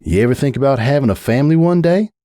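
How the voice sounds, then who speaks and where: A man voicing a young female farm pony who is kindly, steady and teasing, with a folksy, reassuring way of talking. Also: medium pitch, fast talker, no echo, clean studio sound, close to an everyday voice. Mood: teasing, easygoing.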